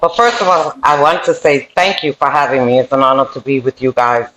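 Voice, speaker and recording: A woman's voice: extremely low pitch, steady tone, a little fast, fairly bright tone, a little hiss or hum. Middle-aged nyc woman, spanish plus new york accent, earnest guest talk w lots of ums, echoey room. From New York City. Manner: earnest, with frequent um fillers and restarts. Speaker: podcast guest. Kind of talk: telling her life story in an interview. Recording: roomy echoey room sound.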